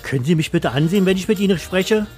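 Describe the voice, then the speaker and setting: A man's voice: high-pitched, fast, a little mellow, faint music behind, pitched above the performer's own voice, natural voice. A man playing a dull, anxious bookkeeper in an audio story, earnest and insistent with a hesitant delivery. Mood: polite, urgent. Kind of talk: first-person audio story.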